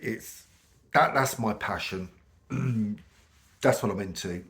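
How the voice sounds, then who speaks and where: Croaky brit guy with a cold, kinda low voice, rambly vlog chat full of ums. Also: slow pace, fairly bright tone. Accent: British English. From United Kingdom. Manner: chatty and rambling, lots of ums and restarts. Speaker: vlogger. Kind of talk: casual chat to camera.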